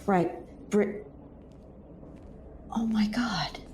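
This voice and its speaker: A woman's voice: medium pitch, drawn-out words, darkish tone, a little hiss or hum. A woman playing a nervous, sometimes sarcastic young space explorer in an audio drama, hesitant and uneasy in her delivery. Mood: awed, uneasy.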